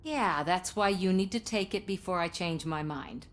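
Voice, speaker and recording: An actress playing an earnest, assertive television news reporter in a video game, polished and articulate. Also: female voice, lowish voice, moderate pace, close to an everyday voice. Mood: conciliatory.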